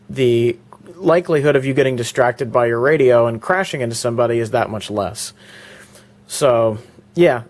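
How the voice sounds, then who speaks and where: A man's voice: mid-range voice, a little mellow. A man in a casual vlog, talking through his car stereo with loose, rambling speech full of fillers and restarts. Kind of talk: casual product walkthrough vlog. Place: inside a parked car; indoors before going to the car.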